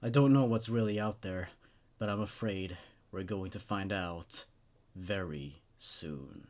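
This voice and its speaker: A man reading as the fearful, grave narrator of a horror story, hushed, somber and measured. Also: deep voice, voice pushed down.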